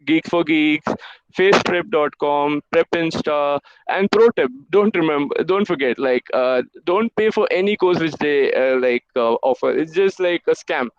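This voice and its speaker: A young man in his twenties, a native Hindi and Urdu speaker, gives a motivational webinar to students and coaxes them along in a fairly high voice. He stops and restarts often with "uh" fillers. The sound is dull and lo-fi, like computer-mic audio on an online call. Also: smooth, no room sound. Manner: halting, with many "uh" fillers, encouraging. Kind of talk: motivational career-advice talk.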